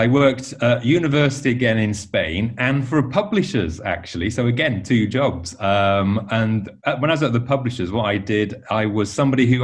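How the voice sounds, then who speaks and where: Low-pitched English man, chatty. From Yorkshire, England. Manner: chatty, self-correcting, rambling. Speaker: interview guest, novelist and teacher. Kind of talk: casual conversational interview.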